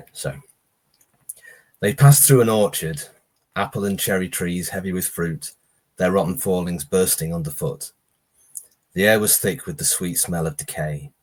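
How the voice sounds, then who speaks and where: A man with a very low voice reads horror fiction aloud as its author. His tone has a husky, roughened edge. The delivery is that of a live short-story reading. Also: a little slow, pauses often.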